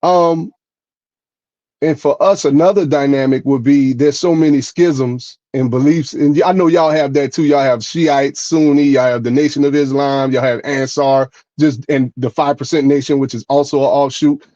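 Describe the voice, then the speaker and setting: Measured man with a slightly dull sound. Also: mid-range voice, steady tone, dark tone, no room sound. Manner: measured explaining, often checking in with "right?". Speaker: podcast co-host. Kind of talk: casual podcast conversation.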